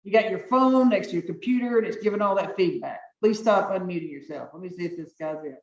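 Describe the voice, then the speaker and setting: A deep-voiced woman judge runs her calendar firmly and briskly, repeating instructions to each party. Her voice sounds distant and roomy, with dull, muffled video-call audio. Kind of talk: presiding over virtual court hearings.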